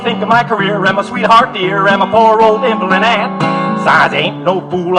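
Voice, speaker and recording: A man's voice: high-pitched, rough recording. A man performing as a folk singer, singing protest songs. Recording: acoustic guitar music throughout. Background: acoustic guitar.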